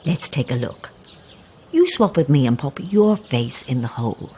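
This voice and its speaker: A woman's voice: extremely low pitch, very animated, normal speed, a little mellow, a little hiss or hum. An actress playing a sweet, cooing elderly woman neighbour with a faintly sinister undertone in a naturalistic audio horror drama. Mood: affectionate. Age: older. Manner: cooing, coaxing, grandmotherly. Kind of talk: naturalistic immersive radio drama acting.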